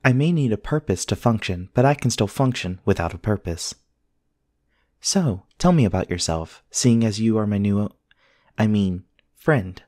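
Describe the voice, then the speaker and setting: A man playing a polite, earnest companion robot in an ASMR roleplay, speaking in formal, measured, precise sentences. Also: on the low side, animated, darkish tone, no echo, clean studio sound, close to an everyday voice. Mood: courteous, helpful. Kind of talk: scripted ASMR roleplay acting.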